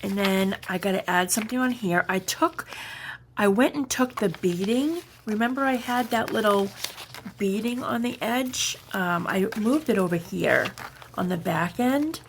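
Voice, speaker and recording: Unhurried, slightly raspy woman with creaky fry. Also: medium pitch, slight background noise. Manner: unhurried, chatty, halting as she shows items. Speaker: craft vlogger. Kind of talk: casual show-and-tell craft narration.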